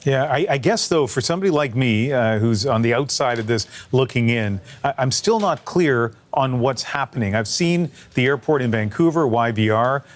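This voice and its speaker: A man's voice: mid-range voice, animated, normal speed, few pauses, slight background noise. A man hosting a news interview, asking probing questions in an informal, conversational way. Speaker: news show host, interviewer.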